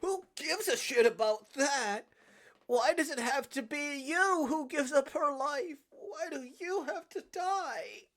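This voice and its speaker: A woman plays an anguished young man from a visual novel. The voice is raised and agitated, pushed up high as he protests and refuses. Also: lively intonation, takes it slowly, a bit bright, heavily acted. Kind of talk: dramatic visual novel read-aloud.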